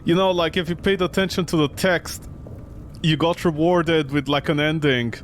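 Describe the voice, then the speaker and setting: A man's voice: a little high, fairly even intonation, moderate pace, slight background noise. A man streaming a game with casual, mocking, exasperated commentary and plenty of swearing. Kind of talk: casual, profane gameplay commentary.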